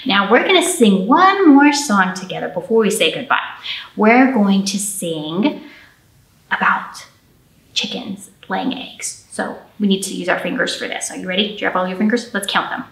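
A woman's voice: medium pitch, lively intonation, normal speed, gravelly, a bit bright. An American woman in her thirties speaking as a children's storytime host, explaining things simply and encouragingly to little kids. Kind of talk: storytime talk for young children. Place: indoor room with a painted mural. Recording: roomy echo, camera at a distance.

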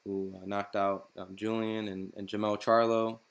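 A man's voice: fairly low pitch, little pitch movement, moderate pace, creaky. A man interviewing a boxer, asking long questions with many restarts and fillers. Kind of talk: casual interview.

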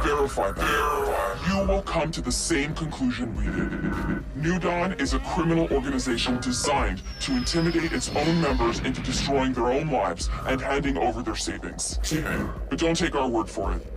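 Loud music drives under an anonymous hacktivist leader from a video game. His voice is electronically masked and menacing, and he declaims each line with slow, solemn defiance. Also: medium pitch. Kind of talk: scripted propaganda video narration.

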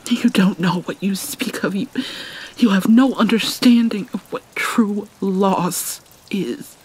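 Breathy, slow-spoken mournful mermaid princess, acted by a woman. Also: mid-range voice, rough recording. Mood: defensive, angry. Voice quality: soft, breathy, often near a whisper. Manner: halting, theatrical, mournful. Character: anguished vampire mermaid princess, proud, haunted by guilt. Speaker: roleplay voice actor. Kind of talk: dramatic ASMR roleplay monologue.

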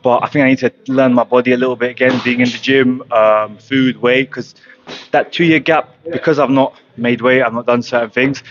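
Plain-spoken young boxer in a gym. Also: male voice, medium pitch, steady tone, moderate pace, dull audio, slight background noise. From Croatia. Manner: matter-of-fact, plain-spoken, many ums. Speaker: professional boxer. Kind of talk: casual interview. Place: boxing gym, indoors. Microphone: handheld mic held close.

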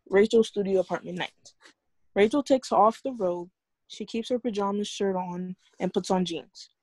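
A woman narrates stage directions from a film script at a table read. Her mid-pitched voice is mellow and dark, with a dry, close sound over a video call. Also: no room sound.

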